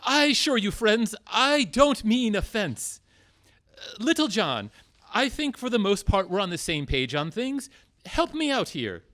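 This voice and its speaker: Man playing a bright, high-voiced theatrical outlaw leader. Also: big pitch swings, unhurried, exaggerated voice. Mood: earnest pleading. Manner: theatrical, earnest. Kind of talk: radio-play comedy acting.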